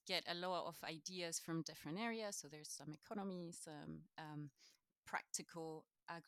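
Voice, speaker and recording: A woman's voice: lowish voice, fairly bright tone, dry recording. A woman from Chile, a native Spanish speaker with a Chilean accent, chatting enthusiastically as an interview guest. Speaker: interview guest, knowledge exchange manager. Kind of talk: casual conversational interview answers.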